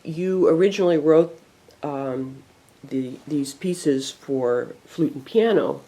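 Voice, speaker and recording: Interviewer, slow unhurried pace, dark mellow tone, hesitant questions. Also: medium pitch.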